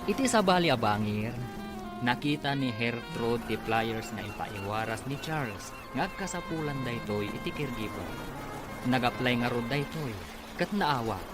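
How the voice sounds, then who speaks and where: A man with an Ilocano accent announcing and narrating a radio drama in a dramatic scripted style. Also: mid-range voice. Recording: loud music behind the voice.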